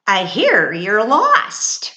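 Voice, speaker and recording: A woman voicing a watchful, nosy chipmunk in a storybook, speaking deliberately and emphatically. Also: mid-range voice, huge pitch swings, pushed and bright, pitched above the performer's own voice, exaggerated voice. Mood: knowing, nosy. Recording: echoey, roomy sound.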